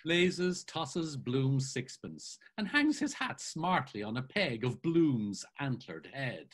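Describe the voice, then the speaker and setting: Slow, lively-pitched husky male reader. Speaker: narrator reading stage directions. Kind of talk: dramatic reading over a video call.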